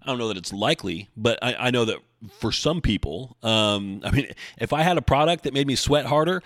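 A man's voice: medium pitch, animated, fairly quick, a bit bright, no room sound. A man hosting a casual solo podcast, rambling and correcting himself with dry humor as he answers listeners. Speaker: podcast host and bodybuilding coach.

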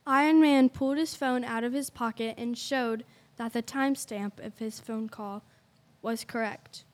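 A woman's voice: a little high, fairly even intonation, a little slow. A woman reading scripted story narration as the narrator of an audio play.

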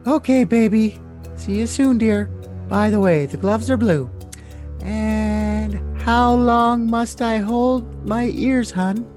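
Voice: medium pitch, takes it slowly, a little mellow, faint music behind, close to an everyday voice. A performer voicing a sweet, submissive young woman in love, in an overly dramatic, gushing reading of messages. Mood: devoted.

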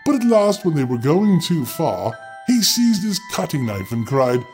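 Animated man telling a dark fairy tale. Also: fairly high pitch, unhurried. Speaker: storyteller, host. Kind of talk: theatrical fairy tale narration with playful asides. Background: music.

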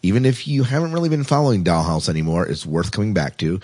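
A man's voice: sits low, quick pace, darkish tone, no room sound. A man hosting a podcast in a casual, playful, jokey chat style. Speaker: podcast host.

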